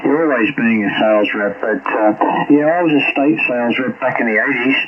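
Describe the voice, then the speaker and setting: An older Australian man comes through a thin, very narrow radio link with a clear babble behind him. His pitch is average. He chats courteously as a ham operator, handing over with callsigns. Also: a little fast, a crowd in the background. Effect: heard through radio receiver. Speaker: amateur radio operator and show presenter.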